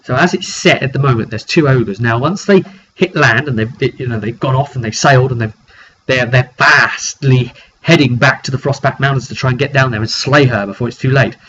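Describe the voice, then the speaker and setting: A dull, lo-fi recording with clear noise carries a man of middling pitch. He speaks as a game master recapping his campaign informally, leaning on key phrases and pausing for drama. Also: a bit bright. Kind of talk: informal video recap of a roleplaying session.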